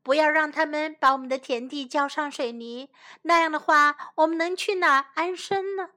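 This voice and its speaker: A woman's voice: high-pitched, moderate pace, bright, forceful tone. A woman voicing frightened little wild animals in a children's story, pleading and exclaiming for help. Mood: panicked pleading.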